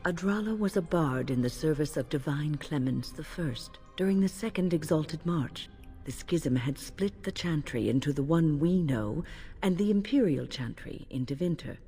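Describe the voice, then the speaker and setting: An actress playing a composed, wise older female mage in a video game, speaking in a measured, earnest, instructive way. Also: sits low, mellow, slightly rough, exaggerated voice. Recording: long hall reverb, music behind.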